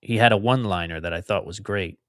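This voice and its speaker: A man's voice: on the low side, quick pace, no room sound, clean studio sound. A middle-aged man from outside Philadelphia hosting a solo podcast, talking in a rambling, conversational way with emphatic repetitions. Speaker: podcast host.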